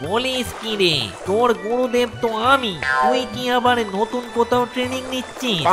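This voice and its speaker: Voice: mid-range voice, normal speed, loud music behind the voice, close to an everyday voice. An actor playing a male guru to a thief in a comic cartoon, questioning a pupil in a familiar, curious way.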